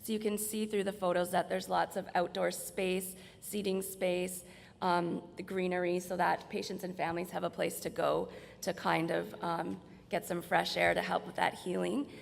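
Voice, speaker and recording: Woman, heavy vocal fry, slightly hoarse, conversational presenter, reverberant hall. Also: fairly low pitch, level tone, moderate pace. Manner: explanatory, conversational. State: slightly hoarse, losing her voice. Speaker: presenter from hospital staff. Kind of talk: informal slide presentation at a conference. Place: large indoor presentation room.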